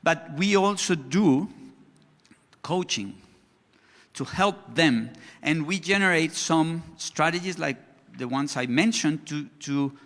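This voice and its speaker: A male conference speaker who is not an English speaker, talking slowly in a halting way with many restarts as he presents to an audience and takes questions. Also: on the high side, lively intonation, a bit bright. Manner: slow, halting, frequent restarts. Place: large conference hall. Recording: hall reverb.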